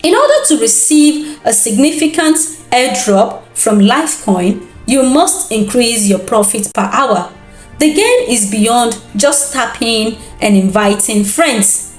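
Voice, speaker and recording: A woman storyteller delivers a scripted tale with expressive care. Her voice sits fairly high and she takes her time. The room adds noticeable echo around her. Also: slow pace, faint music behind. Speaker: storyteller, host. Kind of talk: scripted dramatic folktale narration.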